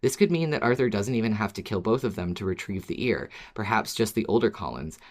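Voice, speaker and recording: Podcast co-host with a mid-pitched voice. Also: animated, brisk. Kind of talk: casual podcast chat and reading listener email.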